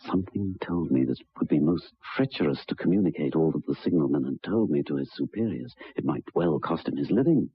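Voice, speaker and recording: An actor playing a troubled, earnest gentleman who recounts a ghostly tale in formal, deliberate, halting old-time radio style, with a husky voice. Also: extremely low pitch. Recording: dull vintage radio recording.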